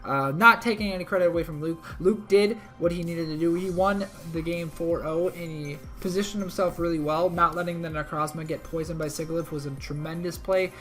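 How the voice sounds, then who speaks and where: A man co-hosting a league recap, talking through a match in a rambling, self-correcting way, a little hoarse from a cold. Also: fairly high pitch, steady tone, a little fast, hardly any pauses, a bit bright, soft background music. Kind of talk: casual game recap commentary.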